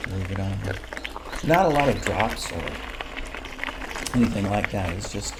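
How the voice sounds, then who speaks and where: A man in his sixties giving relaxed, rambling commentary over his mountain bike ride footage, with plenty of fillers. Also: on the low side, normal speed, heavy background noise. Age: 60s.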